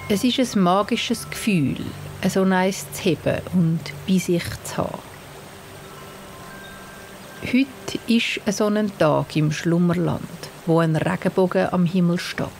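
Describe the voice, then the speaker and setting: A woman with a Swiss German accent narrating a scripted bedtime story for children in a gentle, soothing manner. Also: fairly low pitch, creaky, slight background noise. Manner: slow, gentle, soothing delivery. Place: studio. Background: soft music and sound design.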